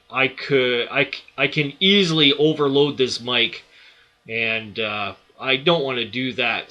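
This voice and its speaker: A man giving a software tutorial, explaining each step in an unhurried way with many fillers, speaking into a desktop studio microphone. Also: mid-range voice. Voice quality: loud voice. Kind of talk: screen-recorded tutorial.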